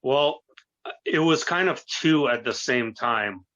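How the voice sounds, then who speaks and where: A man in his early thirties, a native Russian speaker raised in the United States, giving an earnest, halting personal account as an interview guest on a lo-fi recording. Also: mid-range voice, lively intonation, bright, forceful tone. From born in Russia, grew up in the United States. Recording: dull, lo-fi voice recording.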